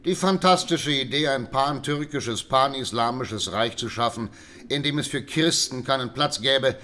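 An actor playing a scholarly German writer in his sixties who gives expert testimony in a measured, formal way in a radio drama. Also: mid-range voice, bright, forceful tone. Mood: explanatory. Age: older. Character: scholarly expert witness, sixty-two-year-old German writer.

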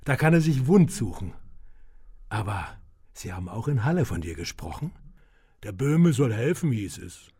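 An actor in a radio drama playing a menacing, condescending clinic director who speaks deliberately and with revolutionary zeal. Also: male voice, mid-range voice, very animated, warm tone, slightly rough. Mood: coolly threatening. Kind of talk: German radio drama acting.

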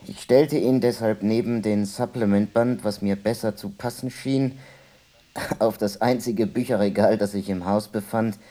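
An actor playing a reflective man who recalls his childhood in a halting, musing way in a radio play. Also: on the low side, steady tone, mellow, natural voice. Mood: wry reminiscence. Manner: halting, musing, self-correcting. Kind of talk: radio play monologue, reminiscing.

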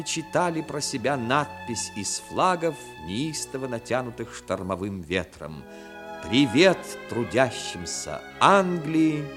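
A man narrating a 1960s radio play as a solemn, expressive storyteller. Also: medium pitch. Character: solemn storyteller of a seaside tale. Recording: 1960s radio recording with music. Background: music.

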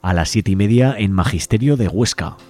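Low-voiced Spanish man hosting radio. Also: lively intonation, normal speed, music in the background. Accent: Spanish from Spain. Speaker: radio show host. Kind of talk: reading event listings on a community radio show.

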